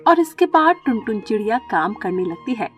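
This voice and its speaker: A woman's voice: on the high side, very animated, moderate pace, faint music behind. A woman reading scripted narration for a children's story, as the storyteller.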